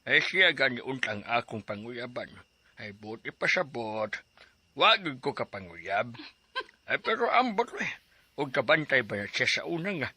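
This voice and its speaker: A man's voice: mid-range voice, sing-song, normal speed, pushed and bright, husky, full character voice. An actor playing a joking middle-aged father who teases and reminisces in a radio drama. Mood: teasing. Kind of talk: Cebuano radio drama acting.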